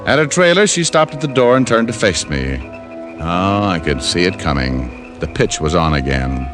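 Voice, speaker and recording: Hardboiled radio detective, lowish lively voice, wry and casual, music behind. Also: male voice, normal speed. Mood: grim, narrating. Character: wisecracking, world-weary male private detective. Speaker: lead actor and narrator.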